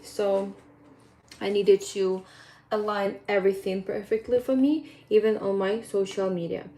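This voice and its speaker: A woman's voice: medium pitch, warm tone, poor audio. A young woman with a Ukrainian accent, a fitness coach chatting to her viewers in an earnest, rambling way with plenty of ums. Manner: earnest, rambling, with frequent ums and non-native phrasing. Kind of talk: casual vlog monologue.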